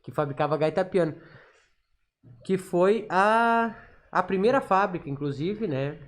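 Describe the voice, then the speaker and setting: A man's voice: sits high, animated. A man with a southern Brazilian accent from Rio Grande do Sul, explaining informally as a podcast guest and often repeating words as he searches his memory. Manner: halting, repeats words while recalling.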